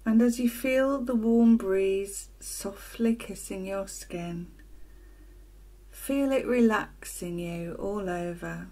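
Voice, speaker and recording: Female meditation teacher, gentle and breathy-soft, very unhurried, deliberate phrasing for guided relaxation. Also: mid-range voice. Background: ocean waves sound loop.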